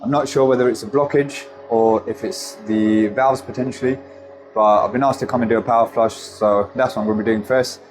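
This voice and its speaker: A man's voice: medium pitch, steady tone, fairly quick, music in the background. A gas engineer with a stuffy nose from a cold, chatting to his phone as he explains his jobs step by step. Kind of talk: casual work vlog to camera. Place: customer's house. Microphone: handheld phone.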